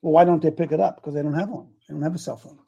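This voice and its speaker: Gravelly folksy preacher in his seventies. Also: on the high side, steady tone, rapid-fire, mellow, muffled recording. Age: 70s. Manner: folksy, repetitive, emphatic. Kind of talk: casual sermon.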